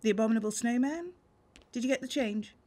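An actress plays a wife in a radio soap with a fairly high voice. She is sarcastic, nagging and sceptical, in a natural conversational style. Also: fast talker, dark tone, close to an everyday voice. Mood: sarcastic, exasperated.

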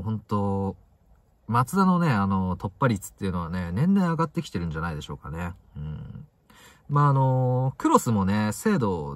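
Young guy vlogging football talk in his car, really low voice but super up and down, dry sound. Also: dry recording. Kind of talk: casual solo football commentary. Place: inside a parked car.

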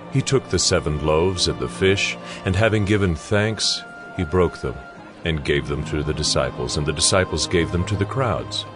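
Casting: male narrator for dramatized scripture, very low register, expressive melody.

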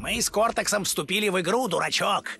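A man playing a cranky, boastful little inventor in a cartoon game, with a creaky, whiny voice. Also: high-pitched, fast, sharp tone, full character voice. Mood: conceited, indignant. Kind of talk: cartoon video game dubbing.

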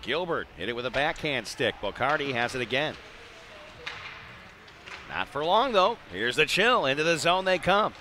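A man's voice: fairly high pitch, animated, normal speed, pauses often, sharp tone, slight background noise. A man doing live play-by-play hockey commentary in clipped phrases with chatty asides. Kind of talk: live radio-style sports commentary. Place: indoor ice arena.

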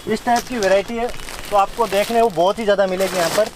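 High-voiced salesy shopkeeper man. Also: fairly even intonation, fast, background noise. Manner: salesy, repeats prices and "see this". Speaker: wholesale lehenga shop owner. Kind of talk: sales pitch on a shop tour.

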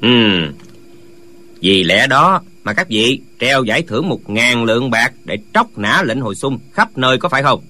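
A man playing a young swordsman and new sect leader in a martial arts audiobook, wry and dutiful, speaking in a measured, courteous way. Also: mid-range voice, sing-song, pushed and bright, heavily acted. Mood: resolute, reassuring.

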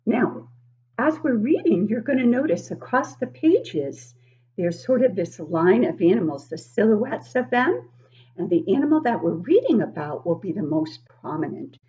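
A woman in her sixties reading a picture book aloud to young children, expressive and exaggerated, often putting questions to the listeners. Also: mid-range voice, normal speed, muffled recording. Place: living room at home, indoors.

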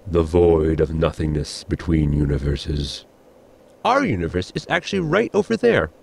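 Gravelly, pedantic starship officer, acted by a man. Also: a bass voice, big pitch swings, normal speed, a little mellow, exaggerated voice. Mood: matter-of-fact. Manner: formal, technical, precise wording. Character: pedantic, formal male science officer on a starship. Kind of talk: sci-fi sitcom audio drama acting.

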